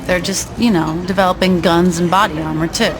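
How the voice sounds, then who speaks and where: A woman's voice: fairly low pitch, fairly even intonation, fairly quick, over music, lightly acted. A woman voicing a tough, brazen gang boss in a video game, blunt, flippant and commanding. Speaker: gang leader. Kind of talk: video game cutscene acting.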